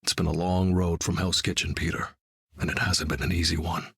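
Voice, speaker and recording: A very husky, brooding dark vigilante. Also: male voice, deep voice, a little fast, no room sound, full character voice. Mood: somber. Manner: brooding, dry, deadpan. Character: grim, battle-hardened male vigilante leader with keen hearing. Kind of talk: video game character voice lines.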